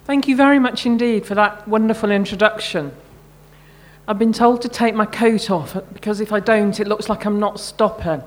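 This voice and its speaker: A middle-aged woman, an author reading her own short fiction at a live event, measured and carefully phrased. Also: mid-range voice.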